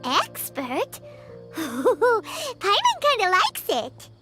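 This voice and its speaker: Little girl fairy sidekick, video game, piercingly high, hugely animated intonation, greedy, boastful, exclaiming. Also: drawn-out words, over loud music, full character voice. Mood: excited, boastful. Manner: refers to herself in third person, exclaiming. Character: tiny childlike female fairy companion, excitable, greedy, boastful.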